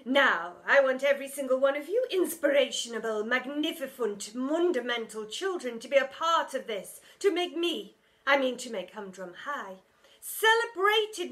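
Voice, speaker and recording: A woman's voice: sits high, a bit bright, voice pushed up, full character voice. A woman voicing a vain, pompous female art teacher who drags out her words and mangles them with made-up endings. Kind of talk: storybook character voice.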